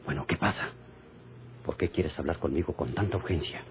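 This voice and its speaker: An actor playing a stern, impatient landowner's son in a radio drama, speaking in a curt, scolding way. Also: male voice, very deep voice, fairly quick. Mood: irritated. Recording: muffled, noisy old radio recording.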